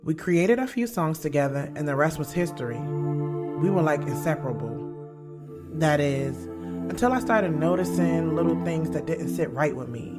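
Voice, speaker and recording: A woman's voice: low-pitched, expressive, normal speed. An actress with an American accent playing a blunt, sarcastic young woman writer, narrating her love life in a slangy, conversational audio drama style. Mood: wry, candid. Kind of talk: first-person scripted narration in an audio drama. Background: music under the voice.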